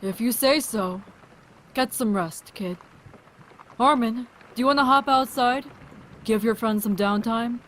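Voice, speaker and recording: A woman's voice: fairly high pitch, measured pace, pauses often, a bit bright, slight background noise. A woman playing a wry, motherly survivor in an audio drama, talking in a casual, resigned way. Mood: curious, casual.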